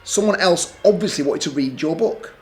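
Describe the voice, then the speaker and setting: A man voicing a sensible older brother in a storybook, calm and reasoning. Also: a little high, normal speed, natural voice. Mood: patient. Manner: calm, reasoning, gently shushing. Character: patient older brother, young boy, sensible.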